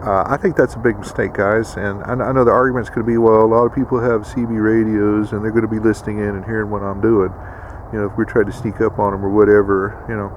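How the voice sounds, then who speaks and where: A man hosting a casual instructional vlog with a gravelly voice, addressing viewers in a folksy way. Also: fairly low pitch, flat delivery, fast talker, lo-fi sound, slight background noise. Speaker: video host.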